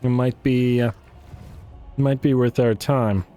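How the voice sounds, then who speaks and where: A man thinking aloud while playing a strategy game, full of fillers and restarts, his voice worn and going hoarse. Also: mid-range voice, steady tone, slow, dark tone, faint music behind. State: losing his voice. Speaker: let's play host. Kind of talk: casual strategy game commentary.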